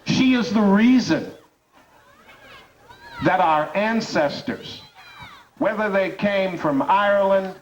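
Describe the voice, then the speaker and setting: A man's voice: high voice, expressive, takes it slowly, long pauses, slight background noise. A man who hosts a radio talk show, delivering a rousing speech to an audience with emphatic, repeated rhetorical build-ups. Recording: dull, distant recording.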